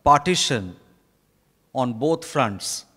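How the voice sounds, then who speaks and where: A man in his fifties with an Indian accent gives a formal prepared speech in halting, deliberate short phrases. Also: medium pitch, animated, pushed and bright, breathy edge. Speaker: author addressing an event. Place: stage in a large hotel ballroom. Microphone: handheld microphone. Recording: reverberant hall, live stage microphone.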